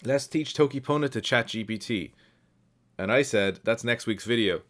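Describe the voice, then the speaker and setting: A man's voice: medium pitch, normal speed, a bit bright. A man with an Irish accent casually reading and answering viewer comments as a channel host, in a reflective, explanatory way. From Ireland.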